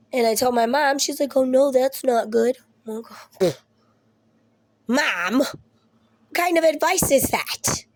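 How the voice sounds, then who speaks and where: A woman's voice: sits high, a little mellow, dry recording. Rambling woman, losing her voice. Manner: rambling, swearing, laughing asides. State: ill with Covid. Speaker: vlogger. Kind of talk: casual chat to camera. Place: at home.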